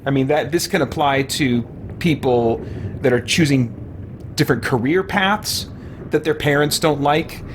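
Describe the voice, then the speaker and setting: A middle-aged man giving casual live let's-play commentary, musing and thinking aloud in halting asides. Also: medium pitch, a little hiss or hum. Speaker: game streamer. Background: game music.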